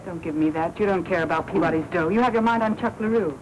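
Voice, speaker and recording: An American actress playing a sharp-tongued woman reporter in a 1940s noir film, skeptical and challenging. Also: lowish voice, fast, close to an everyday voice. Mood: skeptical, exasperated. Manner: tart, challenging. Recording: noisy 1940s film soundtrack.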